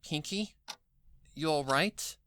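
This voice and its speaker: A narrator voicing a young female unicorn pony who is caring, curious and modest, speaking in a gentle, earnest, slightly hesitant way for a story reading. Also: medium pitch, slow pace, slight background noise, pitched above the performer's own voice. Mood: worried.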